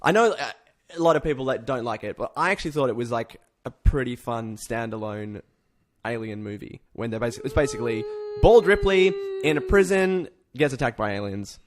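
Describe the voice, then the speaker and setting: A man's voice: mid-range voice, lively intonation, a little fast. A man co-hosting a podcast in casual chat, with an informal, enthusiastic, sweary way of talking. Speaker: podcast host. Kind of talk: casual film chat, reviewing a list.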